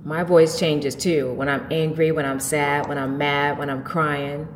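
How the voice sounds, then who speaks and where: Woman, low-pitched, spiritual show host, informal and preachy, emphatic delivery, reverberant room sound. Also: steady tone, measured pace. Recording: echoey, reverberant room with faint noise.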